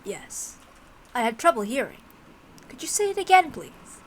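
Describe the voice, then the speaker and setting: A woman voicing a polite, suave male demon butler who speaks formally in a measured, condescending and cruelly amused way, as in a visual novel. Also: on the high side, big pitch swings, slight background noise, low-quality recording. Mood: polite menace.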